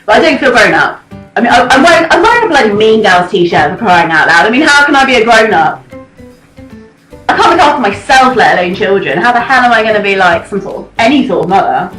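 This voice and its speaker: A woman's voice: a little high, very animated, fast, fairly bright tone. A British woman of thirty chatting casually with a friend in a self-deprecating, dramatic way. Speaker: host of a chat series. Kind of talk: casual chat between friends. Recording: echoey, poor-quality amateur audio, music behind.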